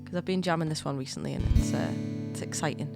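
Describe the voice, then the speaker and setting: A woman's voice: deep voice, fast, creaky. A woman musician hosting a live stream, chatting casually with viewers and greeting them by name, her nose a little stuffed up, on a studio dynamic mic. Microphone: studio dynamic microphone. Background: guitar playing.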